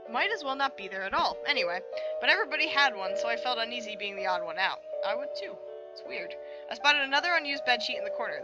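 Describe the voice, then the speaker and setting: High-voiced woman previewing a game over music. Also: poor audio. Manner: expressive reading with chatty, reactive asides. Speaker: host of a game preview, reading aloud. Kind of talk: narration of visual novel text with casual commentary.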